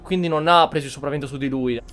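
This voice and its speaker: A man with a high voice and an Italian accent plays through a game and comments casually as he goes. His delivery is informal and a little sweary, with faint music underneath. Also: rapid-fire, fairly bright tone. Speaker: game streamer, host. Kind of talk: casual gameplay commentary with roleplay.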